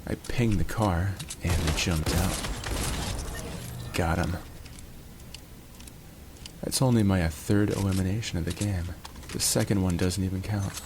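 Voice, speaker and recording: A man's voice: deep voice, fairly even intonation, measured pace, long pauses, background noise. A man hosting his own channel, casually narrating a match from home in a laid-back, jokey, chatty way. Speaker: gaming channel host. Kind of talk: relaxed gameplay commentary.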